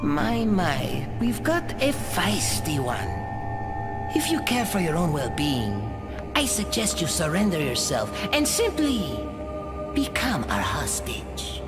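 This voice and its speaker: A small, pompous castle lord in a video game, old-sounding and theatrical. He gloats with mock politeness, lingering slowly over words and swinging widely in pitch. Music can play behind him. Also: huge pitch swings, full character voice. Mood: grandiose menace. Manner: pompous, mock-polite, slow deliberate delivery. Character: small, aged-looking young castellan villain, gloating and theatrical. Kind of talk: video game cutscene acting.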